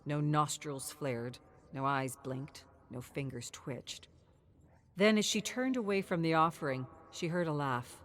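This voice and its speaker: A woman narrating dark game lore as a scripted story, in a steady, measured way that swells at dramatic moments. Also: low voice.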